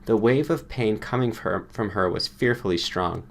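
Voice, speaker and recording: A man's voice: fairly low pitch, moderate pace, creaky. A man reading a short story aloud as an audiobook narrator, in a scripted storytelling style.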